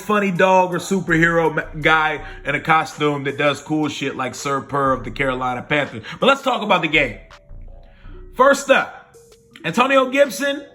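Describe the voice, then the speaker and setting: A hoarse man ranting casually and with lots of swearing in a sports fan video recap. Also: on the high side. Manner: animated, profane, opinionated. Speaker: sports vlogger.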